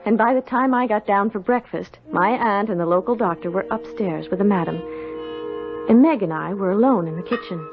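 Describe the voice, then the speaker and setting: A woman's voice: mid-range voice, brisk, natural voice. An actress playing a timid, curious young country girl in a 1970s radio drama, earnest, hesitant and polite. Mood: wistful recounting. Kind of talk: 1970s radio drama acting, first-person narration and dialogue. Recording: dull radio recording with music.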